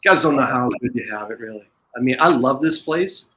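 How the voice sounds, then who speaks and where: An American man chatting casually as a guest on a podcast. He talks at a middling pitch, rambling along, often stopping and restarting mid-sentence. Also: lively intonation, pushed and bright. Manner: rambling, halting, with frequent restarts. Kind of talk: casual chat.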